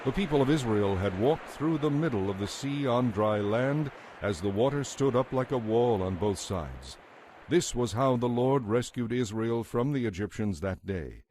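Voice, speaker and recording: A man narrating scripture as a dramatized reading, in a measured, declarative manner. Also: mid-range voice, animated, darkish tone, a little hiss or hum. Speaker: Bible narrator.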